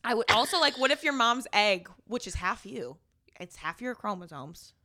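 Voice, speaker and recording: A woman's voice: fairly high pitch, normal speed. A young woman co-hosting a podcast, chatting casually with crude jokes and a lot of laughter. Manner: animated, joking, frequent laughter, crude humor. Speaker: podcast co-host. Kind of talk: casual chat.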